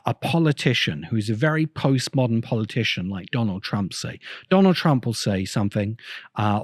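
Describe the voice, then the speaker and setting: A man giving an unscripted explanatory video essay as host, measured and didactic, stressing his key words. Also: medium pitch, dry recording, clean studio sound. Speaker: host of a science fiction video essay channel.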